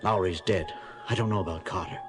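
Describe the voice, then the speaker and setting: A man's voice: deep voice, brisk, lightly acted. An actor playing a grave, alert moonbase crewman in a 1970s audio drama. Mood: grave, tense. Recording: music under the voice.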